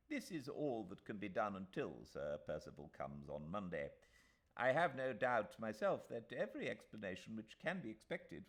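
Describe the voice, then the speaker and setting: An elderly English gentleman solicitor, pitched well above a natural low register. He is fussy and self-assured, with animated intonation. His delivery is formal, emphatic and complacent, as in a classic audiobook. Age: older. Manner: emphatic, formal, repeats himself pompously. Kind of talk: audiobook character voice.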